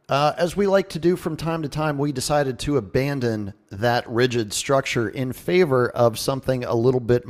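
A man's voice: fairly low pitch, a little mellow. A man hosting a radio show in a casual, conversational and jokey way, talking as if straight to his listeners.

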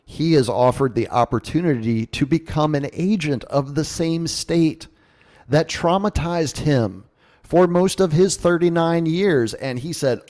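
A man's voice: a little high, measured pace, a little mellow. A man hosting a radio show, giving informal, sarcastic and mocking commentary on the news. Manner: informal, salty language. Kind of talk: news commentary show, reading and riffing on an article.

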